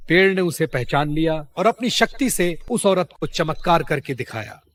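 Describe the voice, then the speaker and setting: A man's voice: on the high side, expressive, normal speed, a bit bright. A man narrating a scripted moral story for children as a storyteller.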